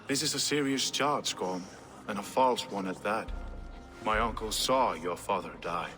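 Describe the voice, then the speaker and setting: An actor playing a commanding, measured Norse king in a video game, speaking formally and deliberately. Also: on the low side, sharp tone. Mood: stern. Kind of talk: dramatic scripted game acting. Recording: echoey hall with music underneath.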